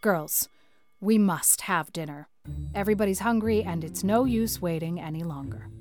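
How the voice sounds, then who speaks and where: A woman's voice: medium pitch, a little mellow, faint music behind, natural voice. A woman voicing a stern, practical older woman, a strict guardian who speaks firmly and matter-of-factly in a storybook reading. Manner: firm, brisk scolding, matter-of-fact.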